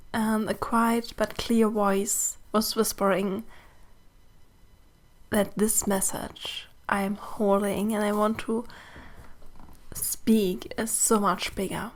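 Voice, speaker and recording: A German woman, a native German speaker, hosting a podcast in English in a soft, gentle, often breathy and hushed manner. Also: mid-range voice. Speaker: podcast host and mentor. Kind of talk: slow reflective solo podcast talk.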